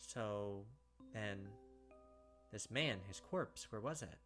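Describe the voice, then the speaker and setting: A man's voice: on the low side, animated, takes it slowly, fairly bright tone, soft background music. A man narrating a visual novel as a distraught, obsessive young killer who doubts his sanity, brooding and repeating himself insistently. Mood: shaken, doubting. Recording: game noise behind the voice.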